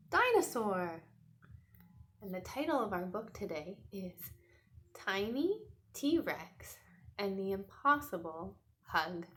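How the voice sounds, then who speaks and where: A woman's voice: medium pitch, fairly bright tone, a little hiss or hum. A woman teacher giving a read-aloud lesson for children, coaxing young learners with questions. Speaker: teacher reading a picture book to children.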